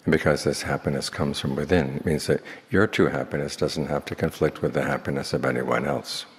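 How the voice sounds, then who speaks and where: A man speaking as a Buddhist monk, leading a guided meditation in slow, measured phrases with long pauses. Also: rumbling low, fairly even intonation. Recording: long hall reverb.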